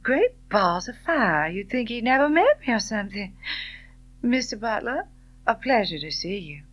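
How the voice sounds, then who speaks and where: A woman's voice: fairly high pitch, sing-song, moderate pace, highs cut off, voice pushed up. A woman voicing a proud, headstrong young widow in an audiobook, bold and emotionally intense. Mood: defiant. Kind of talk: audiobook character dialogue.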